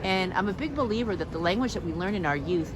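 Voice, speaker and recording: A woman's voice: medium pitch, expressive, fast. A woman interviewing a farmer at a farmers market, talking with enthusiasm and telling stories. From San Diego, California. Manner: enthusiastic, storytelling. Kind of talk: on-location interview. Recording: outdoor street noise, traffic.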